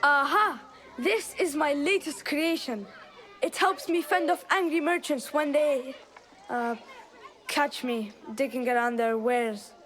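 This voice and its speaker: A scruffy young street boy in a video game. The voice sits very high, with an exuberant, friendly, boastful way of talking. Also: takes it slowly, sharp tone, full character voice. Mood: proud, playful. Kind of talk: video game cutscene acting.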